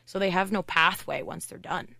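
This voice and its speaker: A woman hockey coach from Canada, a podcast guest, talking in a loud, chatty way with lots of "like" fillers. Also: on the low side, fairly even intonation, gravelly, crowd noise. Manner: chatty, fast storytelling with many "like" fillers. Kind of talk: casual podcast interview.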